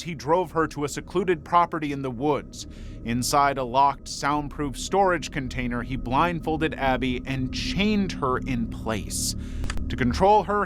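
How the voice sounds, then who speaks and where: A man reading scripted documentary narration in a dramatic storytelling manner. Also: a little high. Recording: voice over prominent background music. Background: music.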